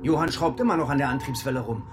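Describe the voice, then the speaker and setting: An actor playing a sober, doubting navy lieutenant in a radio horror drama. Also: male voice, mid-range voice, fast talker, music in the background, lightly acted. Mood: sceptical.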